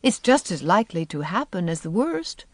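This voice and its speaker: Woman narrator as teenage girl, earnest, ardent, pleading, very animated pitch, storybook reading. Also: moderate pace, no echo. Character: earnest, hopeful sixteen-year-old girl. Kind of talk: audiobook character voice.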